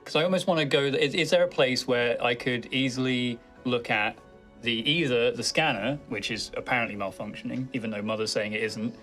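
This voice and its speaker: A man's voice: medium pitch, a little fast, pushed and bright, soft background music. A man playing a tabletop role-playing game, talking through questions and plans casually.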